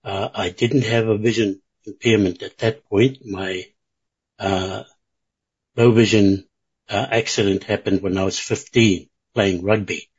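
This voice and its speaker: A man from Niue, introducing himself slowly and hesitantly as a board candidate, with many pauses and fillers. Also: lowish voice, steady tone, slightly rough, highs cut off.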